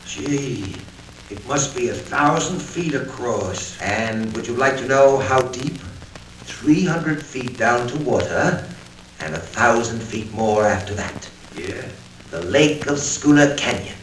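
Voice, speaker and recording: An actor playing a menacing, commanding villain boss in 1940s radio drama style. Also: male voice, mid-range voice, animated, takes it slowly. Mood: gloating, tense. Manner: commanding, threatening. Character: menacing male villain boss bullying his henchman. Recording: old radio record, distant, echoey, hissy.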